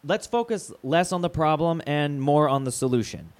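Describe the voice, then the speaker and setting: An actor playing a placating, foul-mouthed radio station manager who talks in halting, cajoling corporate speak in an audio drama. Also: male voice, a little high, lively intonation, close to an everyday voice. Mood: awkward, placating. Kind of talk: naturalistic audio drama acting.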